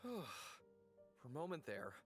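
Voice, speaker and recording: An actor playing a battle-weary, easygoing and stoical warrior in a video game. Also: male voice, mid-range voice, wildly swooping pitch, slow pace, music in the background, full character voice.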